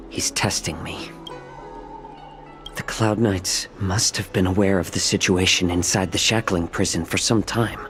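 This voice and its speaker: An actor playing a shrewd, guarded captive fox-folk man in a video game, speaking in a measured, pensive way. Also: deep voice, a bit bright. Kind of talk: dramatic video game acting.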